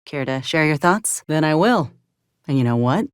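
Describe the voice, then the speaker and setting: A woman's voice: sits low, lively intonation, moderate pace, smooth, no room sound. A woman voicing a tough, dry-witted soldier companion in a video game, blunt and wry with folksy phrasing. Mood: resolute.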